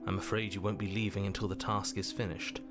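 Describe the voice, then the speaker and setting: Man playing a low, stern fairy-tale narrator over music. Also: little pitch movement, fast talker, close to an everyday voice. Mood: grave, stern. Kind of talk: dramatic scripted game narration. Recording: music behind the voice.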